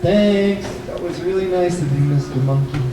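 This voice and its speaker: A kindly, innocent Brahmin puppet, performed by a man, speaking with simple earnestness. The pitch is high. The sound is roomy and far from the mic, with noticeable noise around it. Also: normal speed, natural voice. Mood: grateful. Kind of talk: live puppet show storytelling. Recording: echoey room, distant mic, background noise.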